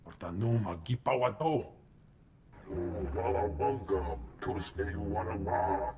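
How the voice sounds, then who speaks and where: An actor voicing a greedy, scheming alien crime boss in a video game, speaking slowly and deliberately in an invented alien language. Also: male voice, fairly low pitch, echoey room. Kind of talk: video game cutscene acting.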